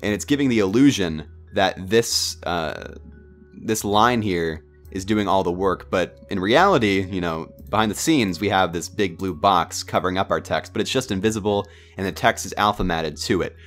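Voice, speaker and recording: A young man with a stuffy, congested voice from a cold, talking through a software tutorial at his computer in a relaxed, rambling way with lots of ums and uhs. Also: low voice, normal speed, soft background music. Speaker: tutorial host. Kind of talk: casual screen-recorded software tutorial.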